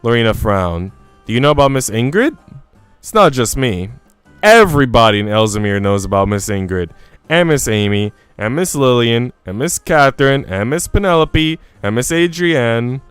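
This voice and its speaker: A man's voice: medium pitch, sing-song, moderate pace, fairly bright tone, smooth, dry recording, soft background music. A man livestreaming a game, talking casually with informal slang and reading story text aloud. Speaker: game streamer.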